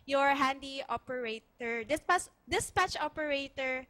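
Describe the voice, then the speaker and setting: A woman in her twenties with a Cebuano accent, answering as a student guest, halting with many ums and self-corrections. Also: high voice, bright, forceful tone. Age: 20s. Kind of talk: live interview answers.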